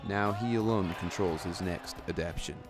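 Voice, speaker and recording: Deep-voiced male reporter narrating. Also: over music. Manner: measured, dramatic phrasing. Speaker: student reporter. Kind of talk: scripted narration.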